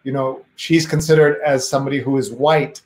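A man's voice: mid-range voice, fairly even intonation, a little fast, darkish tone. A man hosting a live interview show over a video call, asking probing questions and reading viewer comments aloud. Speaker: host.

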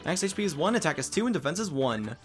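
A man's voice: fairly high pitch, big pitch swings, fast. A man reading as a perky young student girl. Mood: informative. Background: game music.

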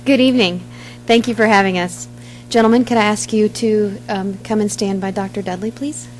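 A middle-aged woman, a high school staff member, reading a formal award announcement in a measured way into a podium microphone in a large boardroom. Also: fairly even intonation, darkish tone, a little hiss or hum.

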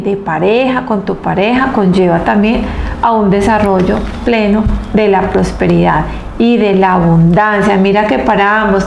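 A woman in her thirties giving a spiritual tarot reading to camera, earnest and emphatic, speaking in short phrases with pauses. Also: medium pitch, creaky, a little mellow. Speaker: tarot reader and host. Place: small indoor room, seated at table. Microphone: clip-on lapel mic. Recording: roomy echo with faint background noise.

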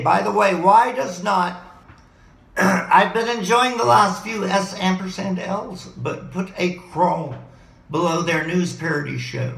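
A hoarse middle-aged man rambles eagerly from one topic to the next, his voice pitched high for a man and full of gravelly fry. His tone is bright and edgy, and the desk mic picks up a roomy echo from his living room. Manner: excitable, rambling, jumps between topics. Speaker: vlogger. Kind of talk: rambling home video monologue. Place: living room at home, indoors. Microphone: desk mic on a boom arm, webcam framing.

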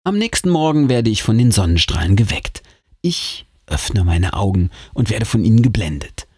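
A man's voice: lowish voice, big pitch swings, normal speed, a little mellow, husky, dry recording, studio quality. A man reading a scary story aloud as host, in a theatrical, suspenseful audiobook manner.

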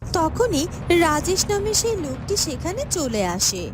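A woman's voice: extremely high pitch, big pitch swings, measured pace. A woman narrating a scripted fairytale cartoon as its storyteller. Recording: music behind the voice.

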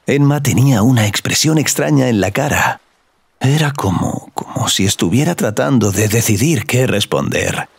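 A man's voice: medium pitch, lively intonation, normal speed, vocal fry, breathy edge, no echo. A man narrating a scripted audio story.

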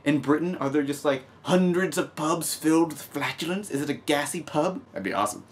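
A man's voice: fairly high pitch, lively intonation, a little fast. A young man from the United States hosting a casual news vlog at home, reading stories and adding sarcastic, joking asides. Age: young adult. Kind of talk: casual humorous news commentary. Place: small home room with desk, indoors.

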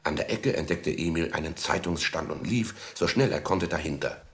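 A man's voice: rumbling low, moderate pace. A man narrating a radio play expressively, dramatically slipping into the thoughts of the character he tells about. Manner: dramatic storytelling, voicing a boy's thoughts.

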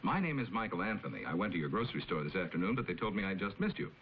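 An American actor in middle age playing a polite, formal secretary and narrator in a 1950s television drama. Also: male voice, deep voice, very animated, rapid-fire, slight background noise. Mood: courteous. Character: courteous, composed male secretary of a reclusive tycoon. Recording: muffled 1950s television soundtrack with music.

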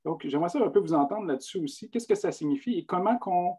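A man in his thirties with a Quebec French accent moderates a video call panel. His voice sits slightly high yet sounds soft and mellow. He phrases questions at length, with restarts. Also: animated, breathy edge. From Abitibi-Témiscamingue, Quebec. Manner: wordy, halting questions with restarts. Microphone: webcam on a video call.

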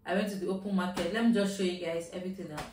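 A woman's voice: lowish voice, steady tone, rapid-fire, a little mellow, a little hiss or hum. A woman with a Yoruba Nigerian accent chatting casually to camera about her shopping haul at home, slipping in Pidgin phrases. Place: at home, indoors. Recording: roomy, mic at a distance.

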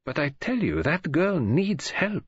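A man playing a pompous older foreign detective in an audiobook, speaking formally, precisely and with deliberate self-assurance. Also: on the high side, wildly swooping pitch, husky, dry recording, dull audio, voice pushed up, heavily acted. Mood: troubled, insistent.

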